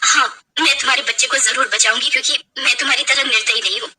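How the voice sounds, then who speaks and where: A woman's voice: sits high, fairly even intonation, fast talker, pushed and bright, slightly rough, heavily acted. A woman playing a defiant, pleading young kidnapped bride in a dramatic story. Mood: resolute.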